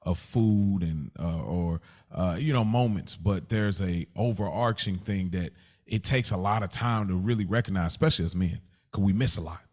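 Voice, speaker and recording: A man's voice: sits low, moderate pace, darkish tone. An American man co-hosting a podcast, chatting casually and reflectively, slangy and affirming as he circles around his point. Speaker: podcast co-host.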